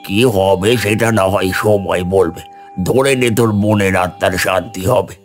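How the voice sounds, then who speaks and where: A man playing a calm, wise wandering holy man in an animated folk tale, giving measured, fatherly instruction. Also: low-pitched, soft background music. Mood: calm, instructing.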